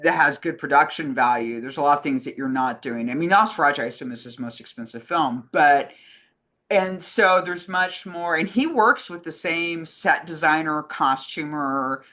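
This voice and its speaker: A woman's voice: sits low, expressive, moderate pace, fairly bright tone. A woman co-hosting a casual film discussion, giving opinions in loose, trailing phrases. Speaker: co-host, film foundation communications director.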